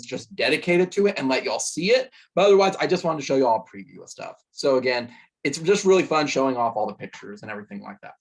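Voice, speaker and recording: Hoarse man chatting about a game. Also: medium pitch. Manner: chatty, rambling with fillers. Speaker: sports podcast host. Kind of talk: casual game recap.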